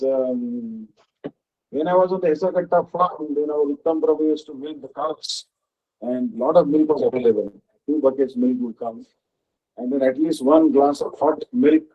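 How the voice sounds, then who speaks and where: A man giving a devotional discourse as a spiritual teacher, halting often and checking in with the listeners. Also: mid-range voice. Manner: halting, with frequent "uh" and questioning "huh?". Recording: dull lo-fi recording.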